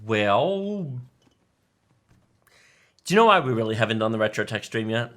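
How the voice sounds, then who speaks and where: Animated man in his forties, storytelling. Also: on the low side, normal speed. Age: 40s. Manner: animated, emphatic, enthusiastic. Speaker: tech show co-host. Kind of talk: casual live stream storytelling.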